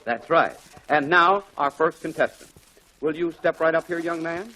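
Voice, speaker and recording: Jovial, high-voiced radio quizmaster. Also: male voice, moderate pace, bright, forceful tone. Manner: jovial, corny, chuckling. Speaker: quiz show host. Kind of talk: 1940s radio quiz show patter. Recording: faintly noisy 1940s radio recording.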